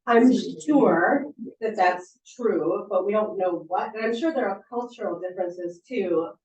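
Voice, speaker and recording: A woman's voice: medium pitch, a little slow, a little mellow, slight background noise. A woman sleep therapist casually answering audience questions, talking informally with fillers and restarts. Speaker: sleep therapist giving a talk. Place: meeting room at a support center. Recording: echoey room, distant mic, muffled.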